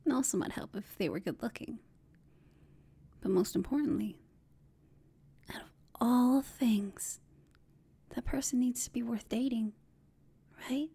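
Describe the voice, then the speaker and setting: A woman playing a confident, teasing female villain in an intimate ASMR roleplay, speaking in a smug, seductive, breathy whisper. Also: on the high side, measured pace, pauses often, mellow, full character voice.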